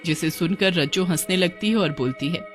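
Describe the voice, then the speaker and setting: A woman's voice: on the low side, moderate pace, faint music behind. A woman narrating a scripted story in a dramatic storytelling manner.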